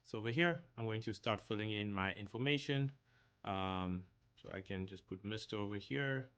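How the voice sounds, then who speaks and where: A man's voice: fairly low pitch, moderate pace, a bit bright, clean tone, dull audio. A young man from South Africa talking casually through an online application, thinking aloud with frequent ums. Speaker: YouTuber walking through an application. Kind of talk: casual screen-share walkthrough.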